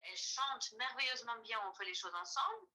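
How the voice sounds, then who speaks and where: A Ukrainian woman in her forties, a native Ukrainian speaker, talks on a video call in a very bright, fairly high voice. She keeps hesitating, with many fillers and restarts. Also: expressive, brisk. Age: 40s. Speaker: artist guest. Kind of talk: casual interview.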